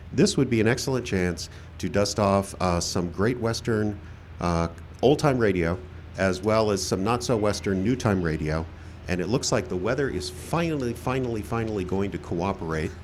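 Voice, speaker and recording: A man's voice: fairly low pitch, lively intonation, moderate pace, slight background noise. A man hosting a live radio show outdoors, speaking like a showman with informal asides. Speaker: host and announcer. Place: outdoor park stage.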